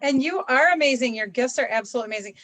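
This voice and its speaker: A woman's voice: sits high, lively intonation, very fast, a bit bright, low-quality recording. A woman co-hosting a video-call chat, talking candidly and rambling, trailing off and restarting her sentences. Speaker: co-host. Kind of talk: casual chat.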